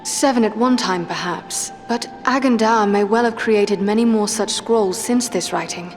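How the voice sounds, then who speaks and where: A woman's voice: mid-range voice, moderate pace, natural voice. A woman playing an earnest, dignified elf adventurer in a fantasy game, speaking in a formal, composed way. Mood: questioning. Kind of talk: fantasy game dialogue acting.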